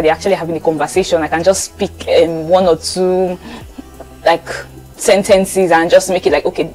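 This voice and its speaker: A young Nigerian woman talks casually to her channel's viewers in a slightly low voice. She repeats phrases for emphasis as she shares things about herself. Faint music plays along with her. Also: darkish tone, poor audio. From Lagos, Nigeria. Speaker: vlogger.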